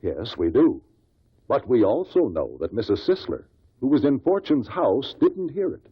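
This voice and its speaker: An actor playing a shrewd, relentless investigator who questions witnesses deliberately in a 1950s radio drama. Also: male voice, medium pitch, big pitch swings, slightly rough. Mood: accusing, insistent. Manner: deliberate, probing courtroom questioning. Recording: muffled vintage 1950s radio recording.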